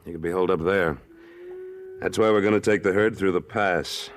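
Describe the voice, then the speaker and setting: A man playing a commanding American cowboy boss in a radio western. His voice is deep, his delivery blunt and authoritative, over the light noise of a vintage recording. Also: steady tone, fairly quick, lightly acted. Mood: terse, businesslike. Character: tough, commanding cowboy trail boss of a cattle drive. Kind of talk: old-time radio western acting.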